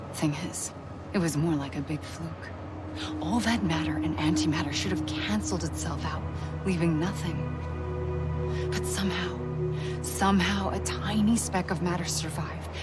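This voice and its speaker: A woman voices a grave, haunted founder in a video game cutscene. Her voice is low and soft, and she often drops close to a whisper. A loud orchestral score plays clearly under her words. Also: natural voice. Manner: quiet, intimate, often near a whisper. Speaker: game character. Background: orchestral game music.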